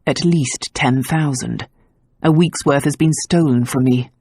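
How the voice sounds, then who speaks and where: A woman voicing a gruff, devoted nobleman husband in an audiobook, speaking low and tenderly. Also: unhurried, dark tone, no echo, studio quality, full character voice. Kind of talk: audiobook character voice.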